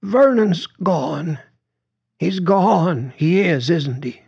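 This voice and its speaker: A man's voice: fairly high pitch, moderate pace, creaky, slightly rough, dull audio, pitched above the performer's own voice. Man voicing a quavering, querulous old woman. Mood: tearful, confused. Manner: fretful, disdainful. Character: frail, confused, querulous old woman in nursing home. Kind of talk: audiobook character dialogue.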